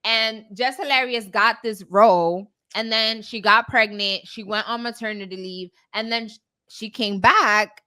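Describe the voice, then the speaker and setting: Bright-voiced woman chatting. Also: a little high, fairly even intonation, measured pace. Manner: conversational, often seeking agreement with "right?". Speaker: talk show host. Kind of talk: casual chat recapping celebrity news.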